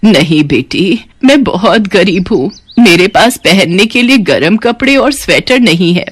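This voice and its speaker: A woman's voice: mid-range voice, animated, a little slow, breathy edge, dry recording. A woman voicing a poor, frail old woman, humble and grateful, in a storybook tale.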